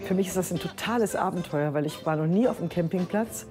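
A woman's voice: lowish voice, quick pace. A woman making casual remarks to camera as a participant in a reality show. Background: music.

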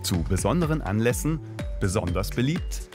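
A man's voice: fairly low pitch, big pitch swings, normal speed, music in the background. A man narrating a consumer documentary in a matter-of-fact scripted voice.

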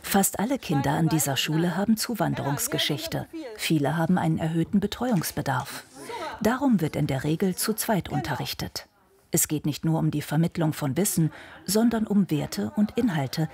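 A woman reading a scripted news report in a calm, measured way. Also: low-pitched, gravelly. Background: music bed.

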